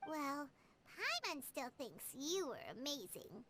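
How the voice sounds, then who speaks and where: A tiny, earnest fairy girl for a video game. The voice is extremely high, squeaky and childlike, chirpy and swooping, with halting phrases. Faint noise runs under it. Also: huge pitch swings, pushed and bright, full character voice. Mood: reassuring. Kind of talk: English video game voice acting.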